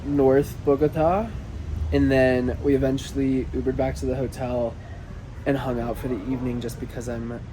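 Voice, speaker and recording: A man's voice: medium pitch, level tone, normal speed, mellow, a little hiss or hum, rough recording. A man with a stuffy, congested voice from a cold, chatting casually as he narrates a travel vlog. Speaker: vlogger.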